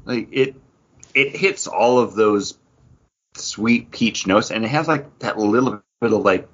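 A man's voice: on the low side, unhurried, highs cut off. A middle-aged man co-hosting a pop-culture podcast in casual, jokey chat. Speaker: podcast co-host.

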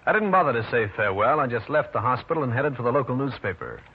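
Dry, hard-boiled radio private eye. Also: male voice, mid-range voice, animated, quick pace, breathy edge. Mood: dry narration. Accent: American. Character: tough, cool, wry New York private detective man. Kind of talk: 1940s radio detective drama, first-person narration and dialogue. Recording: muffled, noisy old radio recording.

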